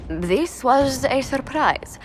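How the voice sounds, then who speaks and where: A woman voicing a poised, businesslike advisor in a fantasy video game, with a measured, formal delivery. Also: high voice, animated, faint music behind. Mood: bemused. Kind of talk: video game cutscene briefing.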